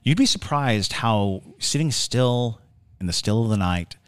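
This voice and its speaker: A man co-hosting a podcast, explaining things casually in a measured, reflective way. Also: mid-range voice, lively intonation, clear, resonant tone, dry recording, clean studio sound. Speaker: podcast co-host.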